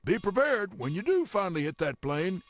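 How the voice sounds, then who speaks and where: An actor playing a wry, folksy, teasing railroad narrator in a game cutscene, giving theatrical briefings with drawn-out emphasis. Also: male voice, sits high, big pitch swings, slight background noise. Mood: wry, ominous. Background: music.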